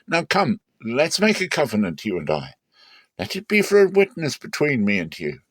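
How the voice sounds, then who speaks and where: A man's voice: mid-range voice, wildly swooping pitch, moderate pace, a bit bright, slightly rough, no echo, pitched above the performer's own voice, exaggerated voice. A stern, possessive old patriarch played by a man declaims in a dramatic scripture reading. He is emphatic and insistent, with his voice lifted above everyday talk, in a dry recording. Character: possessive, stern older father-in-law patriarch.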